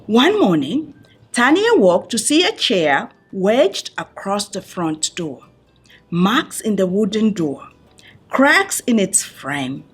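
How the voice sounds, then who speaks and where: Slow, animated woman reading a children's story. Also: medium pitch, breathy edge. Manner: deliberate phrasing with dramatic pauses for young listeners. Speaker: children's book reader. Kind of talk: expressive picture-book read-aloud.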